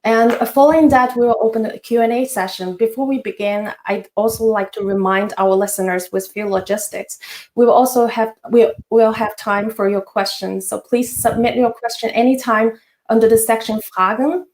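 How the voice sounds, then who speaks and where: A woman's voice: on the high side, steady tone, normal speed, a little mellow. A woman hosting a finance webinar, giving a polite, partly scripted introduction with some hesitations. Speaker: webinar host. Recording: roomy echo.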